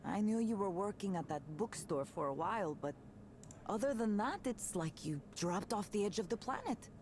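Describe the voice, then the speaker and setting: Animated, candid young woman, voiced by an actress. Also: medium pitch, moderate pace, a little hiss or hum, close to an everyday voice. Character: upbeat young woman, electronic musician and old friend, candid, animated. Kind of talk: visual novel game voice acting.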